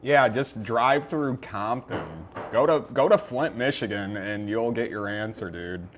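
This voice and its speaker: A man's voice: medium pitch, unhurried, a little hiss or hum. Sarcastic man on dull lo-fi audio. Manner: sarcastic offhand asides. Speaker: reaction commentator. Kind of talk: casual commentary over a clip.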